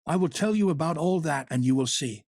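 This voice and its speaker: A desperate young woman begs and coaxes in a raised, slightly husky voice, as a male reader puts her on. Her lines plead with emotion in a dry, close audiobook sound. She is an audiobook heroine on the edge of ruin. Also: fairly high pitch, lively intonation, brisk, a little mellow, no room sound, voice pushed up. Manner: emotional, imploring. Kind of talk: audiobook character reading.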